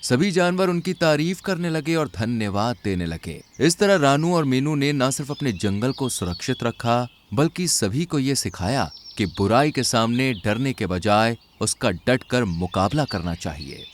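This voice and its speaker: A man's voice: mid-range voice, animated, measured pace, few pauses, a little hiss or hum. A man narrating a scripted children's story as its storyteller.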